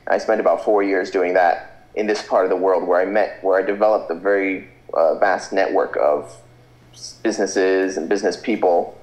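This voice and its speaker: A man with a fairly low voice speaks over a video call, sounding hesitant and often restarting his sentences. His audio carries a noticeable room echo. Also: fairly even intonation, moderate pace, a little mellow. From Philippines. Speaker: Skype guest. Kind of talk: casual interview answers.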